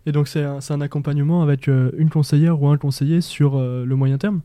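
A man's voice: fairly high pitch, fairly even intonation, fairly quick, warm tone, no room sound, studio quality. A young man co-hosting a local radio show, asking the guests questions. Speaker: radio co-host. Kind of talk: casual radio interview.

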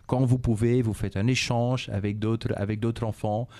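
A middle-aged Belgian executive whose first language is Dutch, speaking French with a Flemish accent and answering questions in a measured way, with frequent hesitations. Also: male voice, medium pitch, fairly even intonation, a little mellow. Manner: measured, repeats himself, frequent "euh" and "et cetera". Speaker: business executive interviewed by schoolchildren. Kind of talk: calm explanatory interview answers.

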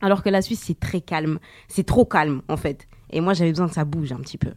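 A woman's voice: low-pitched, a little fast, a little mellow, no echo, clean studio sound. A young woman born in Portugal, guest on a local radio show, talking casually with frequent fillers. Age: young adult. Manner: hesitant, many fillers.

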